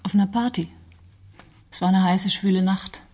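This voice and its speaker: An actress playing a wry, world-weary smuggler's lover in a radio drama, speaking in a dry, sardonic way. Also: female voice, mid-range voice, steady tone, moderate pace, darkish tone, close to an everyday voice. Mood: reflective, wry. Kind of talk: German radio drama dialogue.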